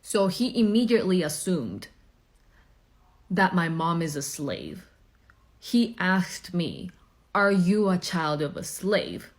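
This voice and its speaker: A woman from Chile telling a story to camera in a deliberate, deadpan way. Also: lowish voice.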